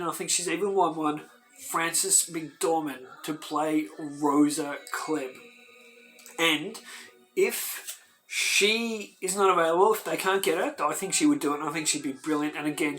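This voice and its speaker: An excitable man hosts his own fan show and talks straight to camera with eager, emphatic energy. His voice sits a little higher than most men's. Faint music plays underneath him. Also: poor audio. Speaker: host of a fan video channel. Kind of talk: enthusiastic casual talk to camera.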